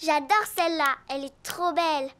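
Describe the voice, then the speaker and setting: Very high, sweet little elephant girl, acted by a woman. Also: moderate pace, very noisy, natural voice. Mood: eager, vain. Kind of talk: French cartoon dub acting. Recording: loud music behind the voice. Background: music.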